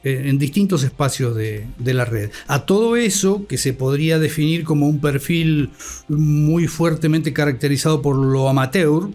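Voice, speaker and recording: Male interview guest, middle-aged, Argentine accent, higher than average pitch, reflective scholarly phrasing, light music bed. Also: darkish tone. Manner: measured, articulate, formal vocabulary. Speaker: interview guest, communication academic and scriptwriter.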